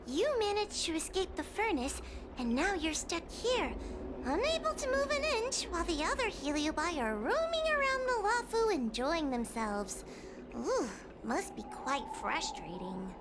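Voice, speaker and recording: Mocking fire spirit. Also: female voice, very high-pitched, big pitch swings, very noisy, heavily acted. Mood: taunting. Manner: taunting, mocking, drawn-out. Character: sly scheming fire-creature spirit, female-voiced. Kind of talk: game cutscene voice acting. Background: game score music.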